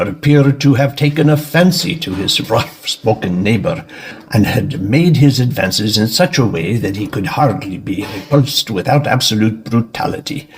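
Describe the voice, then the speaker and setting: A man with a husky voice giving scripted literary narration for an audio drama. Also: medium pitch.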